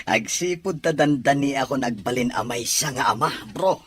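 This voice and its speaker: A man playing a proud, playful young expectant father in an Ilocano audio drama, with a husky, breathy voice. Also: medium pitch, a little slow, a bit bright. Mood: elated, earnest.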